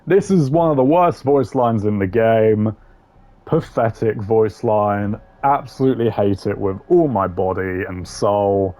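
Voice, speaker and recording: Male gaming commentator: lowish voice, casual, blunt, slangy, opinionated. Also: measured pace, dark tone. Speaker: gaming video creator. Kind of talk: casual opinionated commentary ranking game voice lines.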